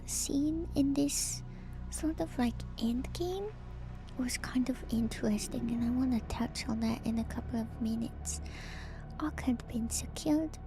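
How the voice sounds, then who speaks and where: A woman streamer doing a whispered ASMR reading with casual commentary, musing in a hushed, drawn-out way. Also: high-pitched. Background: background music.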